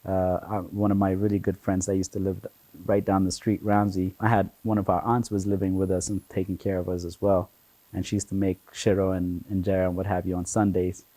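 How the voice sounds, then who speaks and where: A man in his forties from Ethiopia, a guest telling stories about his childhood in a relaxed, chatty way, with lots of "you know" and easy laughter. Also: deep voice, fairly quick, no echo, low-quality recording. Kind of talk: relaxed conversational storytelling.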